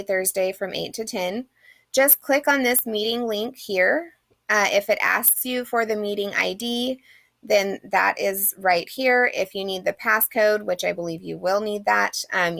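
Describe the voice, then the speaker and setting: A woman's voice: mid-range voice, vocal fry, a bit bright, no room sound. A woman instructor, sick and losing her voice a little, talking through a course syllabus in a friendly, chatty recorded lecture with plenty of "um"s. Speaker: online English instructor. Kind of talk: recorded screen-share lecture.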